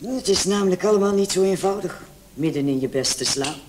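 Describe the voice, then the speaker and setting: A man playing a brisk, condescending emergency doctor on night duty in a vintage radio play. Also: high voice, a little mellow, lightly acted. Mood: brisk, questioning. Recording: old radio recording with clear hiss.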